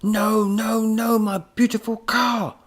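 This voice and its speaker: A man voicing an irascible mad professor in a children's story, exasperated, melodramatic and given to shouting. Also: medium pitch, very animated, moderate pace, vocal fry, slightly rough, pitched above the performer's own voice, exaggerated voice. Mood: anguished wailing. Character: irascible mad professor, shrieking and barking, easily enraged.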